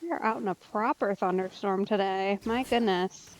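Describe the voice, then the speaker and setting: A woman's voice: medium pitch, normal speed. A woman doing casual, chatty let's-play commentary as a streamer, musing aloud informally.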